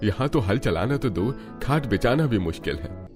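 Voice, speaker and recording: A man's voice: mid-range voice, animated, normal speed, over music, close to an everyday voice. A man playing a weary, meek older father-in-law in a cartoon story, pleading and doubtful. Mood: worried. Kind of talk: Hindi cartoon story voice acting.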